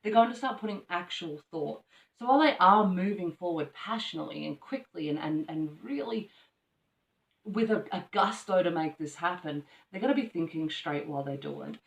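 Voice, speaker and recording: A woman giving a casual tarot reading, rambling with many ums and restarts, her voice slightly croaky from allergies. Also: fairly low pitch, moderate pace. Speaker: tarot reader. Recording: echoey room from far off the mic.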